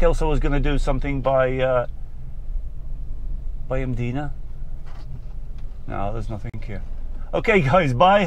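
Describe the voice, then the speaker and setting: An English man with a slightly croaky voice, giving a chatty, rambling, casual commentary as a vlogger while driving. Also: a little high. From England. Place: outdoors on a moving vehicle. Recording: clear vehicle and road noise.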